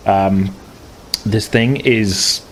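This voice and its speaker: A very low-pitched man runs a tabletop game, narrating in a loose, rambling way full of restarts and fillers. A faint noise sits under his voice. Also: fairly even intonation, drawn-out words. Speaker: game master.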